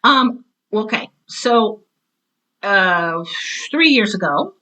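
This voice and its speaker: A middle-aged woman chatting casually and enthusiastically as an interview guest, telling stories in an animated way and acting out what people said. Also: medium pitch, very slow, slight background noise, poor audio. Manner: animated storyteller, acts out quoted remarks. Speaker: interview guest, drama teacher and author.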